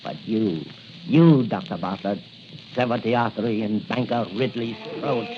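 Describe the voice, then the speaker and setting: A man's voice: fairly low pitch, measured pace. A man playing a cold-blooded crooked financier, menacing and mock-polite in 1940s radio acting style. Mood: cold, gloating. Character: smooth-talking, cold-blooded male crooked financier and murderer. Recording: crackly, muffled 1940 radio recording.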